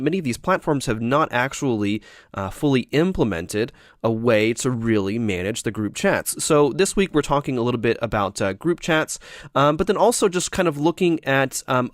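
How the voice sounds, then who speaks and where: A man's voice: mid-range voice, no echo, studio quality. A man from the United States co-hosting a podcast, talking casually with many fillers and restarts. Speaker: podcast co-host. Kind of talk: casual chat.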